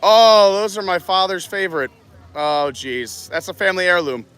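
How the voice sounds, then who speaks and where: A man from New York hosting an excited live walkaround stream outdoors at a resort, gushing over what he sees. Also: sits high, moderate pace. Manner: enthusiastic, gushing.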